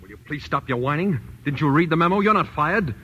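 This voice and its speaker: An actor playing a brash, hot-tempered racketeer, curt and bullying, in a 1940s-style radio drama. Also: male voice, fairly high pitch, expressive, brisk, bright, forceful tone, slight background noise. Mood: impatient scheming. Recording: dull old radio recording.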